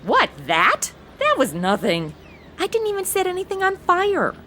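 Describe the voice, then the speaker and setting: Woman playing a brash, bright, lively young woman. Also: very high voice, moderate pace, a little hiss or hum, exaggerated voice. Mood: smug, mocking. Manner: sassy, sarcastic, modern slangy talk. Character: brash, jealous, catty young American woman. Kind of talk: comedy audio drama acting.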